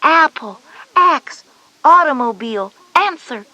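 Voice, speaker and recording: High-voiced reassuring big sister. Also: female voice, lively intonation, slow pace, sharp tone, muffled recording, exaggerated voice. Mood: explaining. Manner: instructive, encouraging. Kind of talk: scripted children's educational audio drama.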